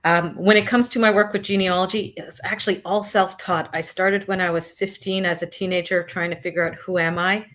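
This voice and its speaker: A middle-aged woman on a discussion panel, speaking briskly and personally. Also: fairly low pitch, steady tone, fairly bright tone.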